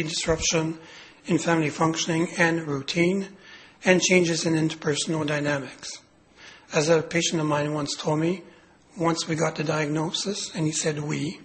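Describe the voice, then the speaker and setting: Guy lecturing with a cold, hoarse and raspy, lots of ums, kinda high voice. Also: a bit bright, slight background noise, poor audio. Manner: hesitant, many fillers and restarts. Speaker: clinical psychologist giving a lecture. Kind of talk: medical lecture.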